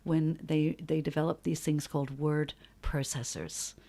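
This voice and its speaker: A middle-aged woman speaks as a guest in a relaxed interview. She tells stories in an animated way, swearing freely, breaking off and restarting her sentences, and laughing as she goes. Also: low voice, measured pace, creaky. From New York. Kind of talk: casual interview chat.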